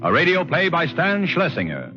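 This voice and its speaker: A man's voice: on the high side, wildly swooping pitch, moderate pace, pushed and bright. An American man announcing a 1940s suspense radio program in a formal, dramatic style. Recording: dull 1940s radio recording with music.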